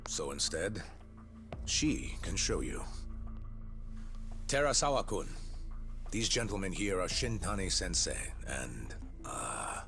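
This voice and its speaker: Slow, gravelly, courteous director. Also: male voice, low voice, big pitch swings, fairly bright tone, faint music behind, heavily acted. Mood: polite, measured. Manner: formal, courteous. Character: courteous, unhurried older male care facility director. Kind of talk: video game cutscene acting.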